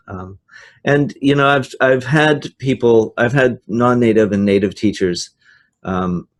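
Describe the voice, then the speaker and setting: An older professor speaks over a video call from his office with a low voice. He talks very slowly and frankly, pausing and restarting as he thinks. He sounds like a seasoned teacher trainer. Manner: thoughtful, frank, many hesitations.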